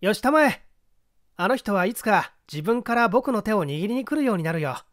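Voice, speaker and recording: Man voicing a high-pitched, self-assured boy detective. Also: moderate pace, no room sound, studio quality. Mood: amused, confident. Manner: modest, courteous, calm.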